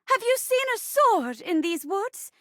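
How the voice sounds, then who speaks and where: A woman performs an earnest forest spirit heroine from a fantasy game. She declaims in grand archaic style, her high, bright voice rising and falling widely. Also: sing-song, measured pace, no echo, clean studio sound, full character voice.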